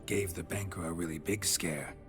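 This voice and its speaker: An actor playing a laconic, dry-witted monster hunter in a video game, gravelly and terse. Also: male voice, deep voice, normal speed, over music, lightly acted. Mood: calm, firm. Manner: terse, deadpan. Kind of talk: video game character acting.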